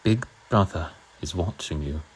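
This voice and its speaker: A man acts out a British narrator of a grim novel in a low voice. The reading is measured and literary, with small stumbles. There is light noise in the background. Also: rough recording. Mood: measured storytelling. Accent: put-on British. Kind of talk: audiobook-style novel reading.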